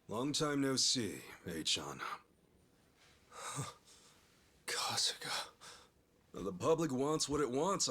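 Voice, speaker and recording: A man's voice: on the low side, sharp tone, husky, heavily acted. An actor playing an easygoing, sincere, loyal man in a video game cutscene, with an American accent and informal, earnest delivery. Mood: wry, casual. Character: easygoing, loyal, sincere adult man, a yakuza's forgiving pal. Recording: music behind the voice.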